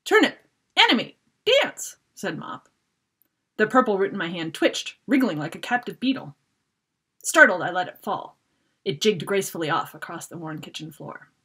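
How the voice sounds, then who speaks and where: A middle-aged woman author reading a children's fantasy story aloud in an expressive, dramatic way. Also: fairly low pitch, normal speed, husky. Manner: animated, dramatic reader. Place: book-lined room at home.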